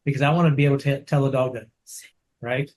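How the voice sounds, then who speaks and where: Soft-spoken dog trainer man, rambling. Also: medium pitch, fairly even intonation, quick pace. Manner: soft-spoken, rambling with many restarts and "right?". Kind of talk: casual interview over video call. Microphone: video call.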